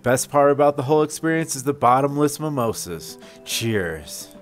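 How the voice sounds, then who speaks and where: A man's voice: medium pitch, animated, normal speed, soft background music. A man in his forties giving a casual food review as a vlog co-host.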